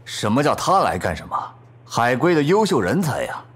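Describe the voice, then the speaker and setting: An actor playing a genial, middle-aged senior police officer in an audio drama, teasing and encouraging. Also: male voice, medium pitch, big pitch swings, moderate pace, husky. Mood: jovial, chiding. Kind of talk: Chinese audio drama acting.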